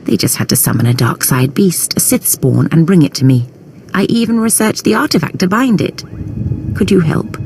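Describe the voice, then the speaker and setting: A woman's voice: on the low side, animated, moderate pace, a little mellow, a little hiss or hum. A woman voicing a haughty, impatient and obsessive Sith sorceress in a video game, speaking theatrically. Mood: scornful, eager. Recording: music under the voice.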